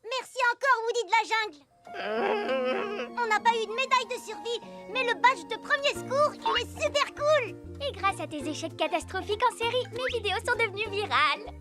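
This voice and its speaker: A cheeky young woodpecker scout in a cartoon. The voice is extremely high, pert and childlike, with loud music underneath. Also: lively intonation, brisk. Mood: pleased. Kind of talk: animated cartoon character acting.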